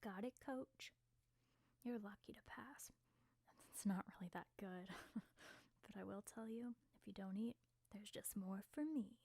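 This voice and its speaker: A woman voicing a brash young female gymnast in a casual, slangy, breathy whisper for an ASMR roleplay. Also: mid-range voice, measured pace, mellow, no room sound. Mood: amused, casual.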